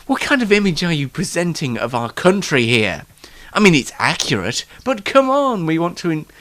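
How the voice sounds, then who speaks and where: British man, slightly congested, lively swooping pitch, unrehearsed solo show host, self-mocking, rambling, mock-exasperated. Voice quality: congested, bunged-up nose. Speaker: host of a homemade online talk show. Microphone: microphone, speaking alone.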